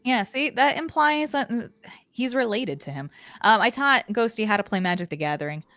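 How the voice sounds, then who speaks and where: A woman's voice: fairly high pitch, lively intonation, moderate pace, a bit bright, no echo. A woman co-hosting a game stream in casual chat, playful and giggly, with wry quips.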